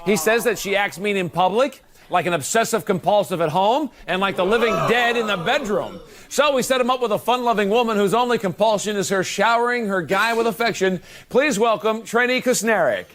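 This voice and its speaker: A man's voice: high voice, brisk, crowd noise. A man hosting a TV dating show, introducing contestants with upbeat showman delivery. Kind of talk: broadcast announcing.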